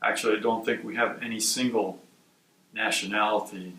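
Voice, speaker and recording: A man from Wisconsin who directs a research institute, giving measured, explanatory interview answers. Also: on the low side, steady tone, pushed and bright. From Wisconsin, USA. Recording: roomy sound from a distant mic.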